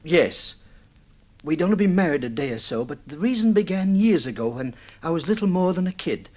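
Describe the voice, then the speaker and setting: High-voiced, excitable obsessive young husband. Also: male voice. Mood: aggrieved, reminiscing. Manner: halting, stammering repeats, swinging into boastful excitement. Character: excitable, obsessive newlywed man recounting his suicide attempt. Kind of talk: old-time radio drama acting.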